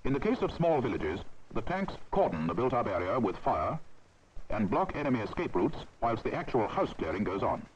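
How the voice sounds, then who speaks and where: A man's voice: medium pitch, very animated, brisk. A man with a British accent giving formal, authoritative, precisely enunciated scripted narration for a military training film. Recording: dull old film soundtrack, background noise.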